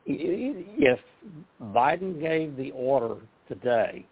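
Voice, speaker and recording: A man from Texas and Oklahoma, a guest on a talk radio show, hoarse and losing his voice, wry and skeptical. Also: mid-range voice, big pitch swings, slow pace. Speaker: guest journalist. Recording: tinny phone line.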